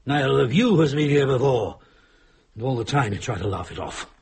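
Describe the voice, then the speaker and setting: A man's voice: medium pitch, expressive, brisk, vocal fry, darkish tone, slight background noise. An actor playing an agitated, obsessive man in a radio drama, earnest and insistent, repeating himself. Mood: accusing, agitated. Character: agitated, obsessive man convinced of supernatural cause. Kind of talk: dramatic radio play acting.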